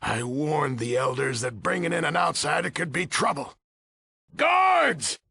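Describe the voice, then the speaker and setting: An actor playing a gruff, weary older leader of a military order in a video game, with an American accent, a raspy voice and blunt, folksy grumbling. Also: male voice, fairly high pitch, bright, forceful tone, no room sound, full character voice. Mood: stern, angry. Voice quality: gravelly, raspy. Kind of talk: video game character dialogue.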